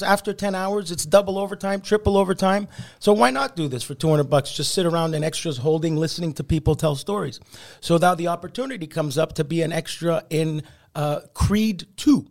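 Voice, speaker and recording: High-voiced animated comedian telling stories. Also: male voice, vocal fry. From Canada. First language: Italian. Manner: animated anecdotes with laughs and restarts. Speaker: podcast guest, comedian. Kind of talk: casual storytelling interview.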